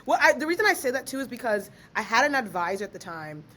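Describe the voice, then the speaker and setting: A young woman, born in Ghana and raised in Maryland, chatting as a podcast guest in a lively, slangy way and laughing easily. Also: on the high side, fast talker, slight background noise. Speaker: guest, author and researcher. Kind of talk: casual podcast interview.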